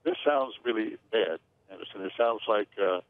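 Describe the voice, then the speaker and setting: A man speaking as an aviation analyst in a news interview, measured and hesitant with many fillers. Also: lowish voice, animated. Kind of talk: phone-in expert interview. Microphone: phone line. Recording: tinny phone line, dry.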